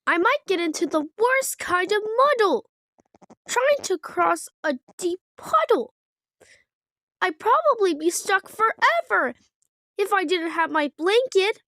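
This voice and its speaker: Woman as an anxious high-voiced little baby frog. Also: sing-song, takes it slowly, fairly bright tone, dry recording, heavily acted. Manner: fretful, earnest. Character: young male baby frog, anxious and clingy.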